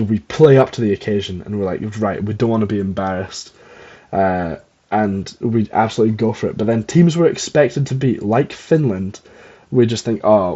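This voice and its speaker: Husky, rambling young Scottish man, lo-fi sound. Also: fairly low pitch, warm tone. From Scotland. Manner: self-deprecating, rambling. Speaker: podcast host. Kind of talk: casual rambling solo podcast.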